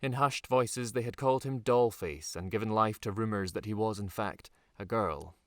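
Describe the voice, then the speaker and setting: A man narrates a fantasy novel in a fairly low voice. His delivery is scripted and measured, with dramatic phrasing and deliberate pauses. The recording is dry, with hardly any room around the voice. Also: dry recording. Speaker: author reading his own novel.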